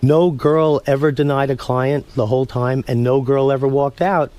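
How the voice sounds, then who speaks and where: A man's voice: mid-range voice, steady tone, moderate pace, mellow. A mild-mannered man telling his story candidly and conversationally as an interview guest.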